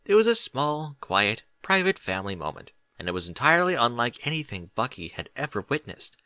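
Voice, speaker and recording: A man reading a story aloud as an expressive audiobook narrator. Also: mid-range voice, fairly quick, no echo, clean studio sound.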